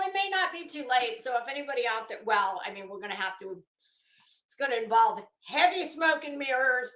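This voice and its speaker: Bright, high-voiced woman chatting on a livestream. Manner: animated, self-mocking, theatrical. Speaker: livestream host. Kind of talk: casual rambling livestream chat. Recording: echoey, roomy sound.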